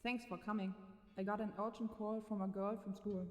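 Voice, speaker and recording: A woman's voice: mid-range voice, flat delivery, fairly quick, lightly acted. A woman playing a stern young woman who knows the occult, speaking coldly and bluntly in a dramatised horror story. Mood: wary. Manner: cold, blunt, commanding. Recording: echoey with long reverb tail.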